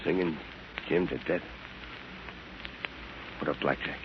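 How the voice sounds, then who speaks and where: An actor playing a gruff, surly, hard-boiled gangster in 1930s radio style. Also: male voice, a bass voice, fairly even intonation, slow pace, very noisy. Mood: sullen defeat. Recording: muffled 1930s radio recording.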